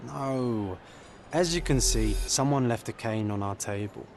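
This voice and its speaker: A man's voice: medium pitch, a little slow, darkish tone, slight background noise, close to an everyday voice. An actor playing a cheerful, teasing companion in a video game, coaxing his friend along. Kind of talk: video game cutscene voice acting.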